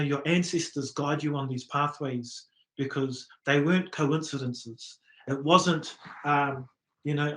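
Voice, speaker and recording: A man's voice: on the high side, roomy sound, low-quality recording. A middle-aged man with a New Zealand accent, telling his story earnestly in a casual interview, with many fillers and restarts. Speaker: musician guest.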